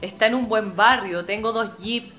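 A woman's voice: medium pitch, normal speed, sharp tone, faint music behind, lightly acted. A woman playing an earnest, insistent, status-conscious professional daughter with a Chilean accent, in a radio drama. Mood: pleading.

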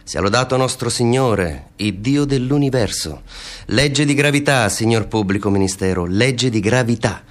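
A man's voice: mid-range voice, animated, moderate pace, natural voice. A male actor plays a cynical man of the law in a stage-like radio drama. His voice sits in the middle range for a man. He is mocking and impatient, with a theatrical, taunting delivery. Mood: taunting, lecturing.

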